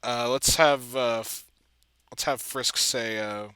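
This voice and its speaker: A man giving casual, halting screen-recording commentary as he thinks aloud, muttering to himself in a self-deprecating way. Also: mid-range voice, steady tone, bright, forceful tone, clean tone. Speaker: video editor and comic dubber.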